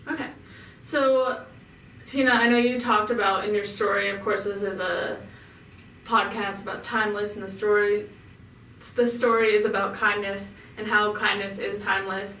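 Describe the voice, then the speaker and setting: A woman's voice: medium pitch, little pitch movement, moderate pace, a bit bright, a little hiss or hum. A woman hosting a podcast who asks questions in a friendly, chatty way. She is recorded in an echoey room with the mic some distance away. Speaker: podcast host. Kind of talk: podcast interviewing.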